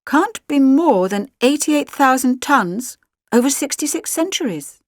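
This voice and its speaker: A woman voicing an alien-possessed teenage girl, quiet, confiding and menacing, in an audiobook story. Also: high-pitched, animated, measured pace, dry recording, studio quality, pitched above the performer's own voice. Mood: quiet gloating.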